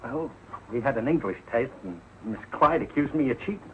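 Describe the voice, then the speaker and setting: A man's voice: medium pitch, expressive, brisk, close to an everyday voice. Man acting a bumbling sitcom dad, muffled old recording. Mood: sheepish, evasive. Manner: blustering, sheepish, ungrammatical. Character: bumbling, evasive, put-upon working-class husband and father. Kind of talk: 1940s television sitcom acting. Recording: muffled, noisy old 1940s TV soundtrack.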